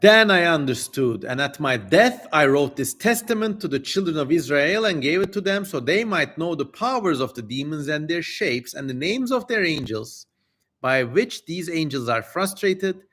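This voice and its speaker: A man's voice: a little high, very animated, normal speed, a bit bright, pitched above the performer's own voice. A man voicing a solemn ancient king who recounts his testament in a declamatory, portentous dramatic reading. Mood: solemn, grand.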